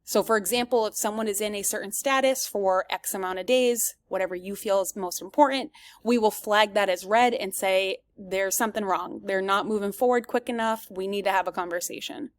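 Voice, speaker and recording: A woman giving a semi-scripted product demo as a presenter, explaining each step clearly and addressing viewers directly. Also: medium pitch, brisk, no room sound.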